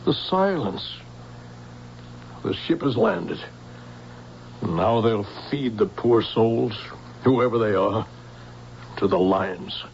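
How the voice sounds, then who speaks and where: A creaky-voiced old professor, weary and wry, speaking in grand bitter phrases. It is vintage radio drama, muffled and laced with hiss. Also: male voice, lowish voice, lively intonation, slow pace, pauses often, darkish tone, full character voice. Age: older.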